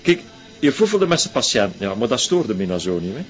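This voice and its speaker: An actor playing an evasive, gossipy Flemish man who talks in a halting, offhand, colloquial way in a radio drama. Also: fairly low pitch, big pitch swings. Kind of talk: naturalistic radio drama acting. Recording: dull radio drama with faint music.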